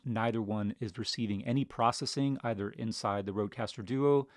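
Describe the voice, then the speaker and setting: A man's voice: on the low side, a little mellow, dry recording. A man in his thirties talking casually and conversationally as a tech reviewer, close on a studio microphone in a small home studio. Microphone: close studio microphone on desk stand.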